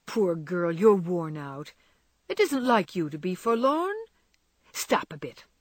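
A woman voicing a gentle young man. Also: fairly low pitch, sing-song, takes it slowly, no echo, studio quality, full character voice. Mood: reassuring. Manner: gentle, soft, often whispered. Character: young man, kind loyal friend.

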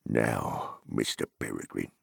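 Man voicing a gruff, hearty hobbit farmer. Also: rumbling low, slow pace, no echo, clean studio sound, pitched below the performer's own voice, exaggerated voice. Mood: probing, hearty. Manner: rustic, blunt, exclamatory. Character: gruff, hearty, suspicious but hospitable middle-aged hobbit farmer. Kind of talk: dramatized fantasy audiobook character.

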